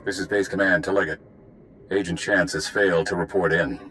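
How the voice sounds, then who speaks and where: An actor playing a sober spy commander in a video game, giving orders in a clipped, official manner. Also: male voice, low-pitched, steady tone, a little fast, a bit bright, slight background noise. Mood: grave, businesslike. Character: sober, authoritative male spy base commander. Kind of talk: video game cutscene acting.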